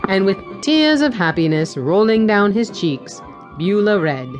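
A woman narrating a children's storybook audiobook with an expressive storytelling delivery. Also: medium pitch, measured pace. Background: background music.

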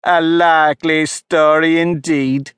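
A man's voice: measured pace, fairly bright tone, no echo, studio quality. A man reading a storybook, voicing a fretful female pigeon who shrieks and scolds over her eggs. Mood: contemptuous. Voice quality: shrill, scolding.

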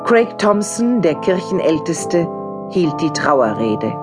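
A woman narrating a radio play in a measured, even storytelling voice. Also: low-pitched, over music. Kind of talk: scripted narration.

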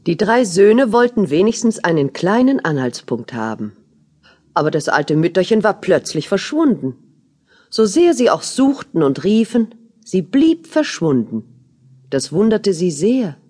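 A woman's voice: on the low side, very animated, measured pace, dark tone, slightly rough. A woman reading scripted fairy-tale narration as the storyteller of an audio play.

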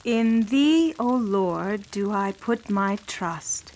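A woman playing a devout, anxious, gentle young wife with an earnest, tender manner in a children's audio drama. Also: mid-range voice, lively intonation, very slow. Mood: reverent.

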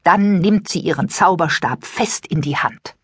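A woman narrating a children's story expressively and with suspense, in a breathy, at times whispery voice. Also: on the low side, a little slow, no room sound, clean studio sound. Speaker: audiobook narrator. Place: dry studio.

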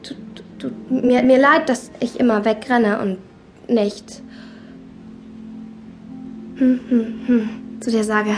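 A woman's voice: fairly high pitch, steady tone, slow, long pauses, darkish tone, soft background music. A young actress playing a jealous, hot-tempered teenage girl in a radio play, who snaps and stammers when upset. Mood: tearful. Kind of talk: film-based radio play acting.

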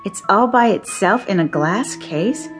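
Casting: actress for a polite but rattled female shopper in a radio drama, very expressive, swooping intonation, music underneath. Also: mid-range voice, a little slow, natural voice. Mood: hesitant, curious. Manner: expressive, hesitant, increasingly puzzled and insistent. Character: uneasy, polite, questioning woman shopper.

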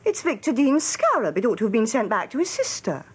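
An actress playing a jolly, slangy office copywriter in a British radio drama, with an upper-class English accent and a brisk, frank, drily witty manner. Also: female voice, a little high, huge pitch swings, husky, heavily acted. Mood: candid.

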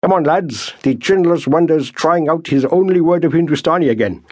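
A man voicing a sly, mock-humble army sergeant who heaps on elaborate flattery. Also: a little high, moderate pace, breathy edge, no echo, studio quality, pitched above the performer's own voice. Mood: scornful.

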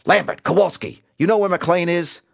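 A man playing a gruff, weary, impatient police commander who talks in curt demands. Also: lowish voice, big pitch swings, fairly quick, pitched above the performer's own voice. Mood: barking.

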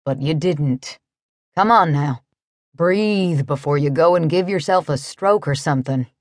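Easygoing, kindly cowboy for a storybook romance female narrator acting him, pitched down, calm drawl, dry close sound. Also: a little slow, no room sound. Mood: calm, reassuring.

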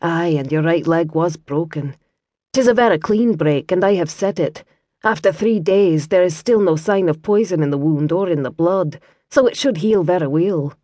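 Brief: woman narrator doing a soft-spoken, sultry Scottish healer lass, gently teasing, hushed and low, storybook read. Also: moderate pace, dry recording, natural voice. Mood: gentle teasing.

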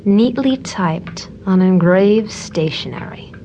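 A guarded, flustered woman in a conversational audio drama monologue. Her delivery is slow and hesitant, with very lively intonation. There is faint noise and ambience behind her. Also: mid-range voice, dull audio. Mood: exasperated, defensive. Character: guarded, flustered woman reading a suitor's letters aloud.

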